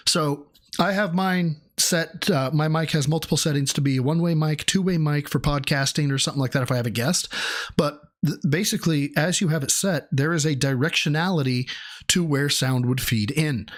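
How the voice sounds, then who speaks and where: A man's voice: on the high side, brisk. Sarcastic, foul-mouthed man reacting. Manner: sarcastic, swears a lot, mocking. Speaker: streamer reacting to a clip. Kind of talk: profane reaction commentary.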